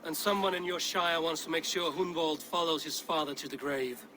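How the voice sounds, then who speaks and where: An actor playing a stern, steady Viking warrior in a game cutscene, speaking in a blunt, measured, weighty way. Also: male voice, a little high, slight background noise. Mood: steady, wary. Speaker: video game lead character. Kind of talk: dramatic game cutscene acting.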